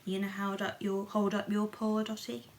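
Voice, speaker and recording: A woman reading a picture book to children in an animated, playful way, with chatty asides and questions to her listeners. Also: mid-range voice, normal speed. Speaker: children's storybook reader.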